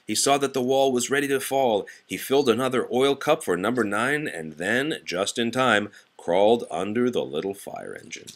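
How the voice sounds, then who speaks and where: A man reading a children's storybook aloud with expressive narration. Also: mid-range voice, normal speed, hardly any pauses. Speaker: storybook reader.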